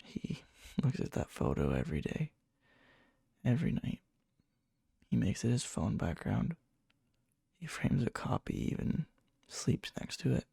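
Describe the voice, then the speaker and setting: A needy, obsessive young man in love , acted by a man for an ASMR roleplay, murmuring very huskily with heavy fry. He is coy and hesitant, unhurried, as if confiding a secret crush. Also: medium pitch, dry recording, clean studio sound, exaggerated voice. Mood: dreamy, obsessive. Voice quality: breathy, soft, fry-edged. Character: needy, lovesick, obsessive young male friend confessing indirectly. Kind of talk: whispery ASMR roleplay, intimate storytelling.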